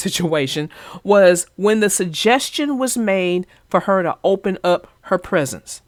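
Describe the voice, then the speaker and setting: A woman reading a children's storybook aloud in a measured, gentle way, then chatting casually about it. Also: medium pitch, dry recording, clean studio sound. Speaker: storybook narrator.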